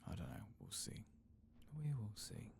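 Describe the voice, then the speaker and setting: A tired man giving soft-spoken, partly whispered ASMR commentary on a game, murmuring unhurried asides to himself. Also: lowish voice, wildly swooping pitch, gravelly. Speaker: ASMR gaming streamer.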